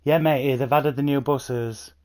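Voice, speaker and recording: A man's voice: medium pitch, steady tone, normal speed, a little mellow. A man chatting enthusiastically to viewers by name as he streams a bus game, speaking into a headset mic. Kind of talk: casual livestream commentary. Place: at home playing on a console. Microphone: new gaming headset.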